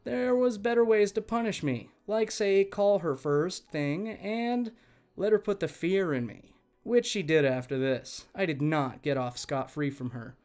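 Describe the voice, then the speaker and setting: A man working as a channel host, reading online stories aloud in an expressive, conversational way and speaking directly to listeners. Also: sits high, measured pace. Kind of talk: reading online stories aloud, scripted narration with chatty asides.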